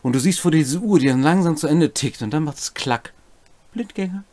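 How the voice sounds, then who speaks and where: A man's voice: fairly high pitch, animated, fairly quick, mellow, no echo. A man, co-hosting and explaining game rules with casual, chatty commentary. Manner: chatty, jokey asides. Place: small home room, indoors.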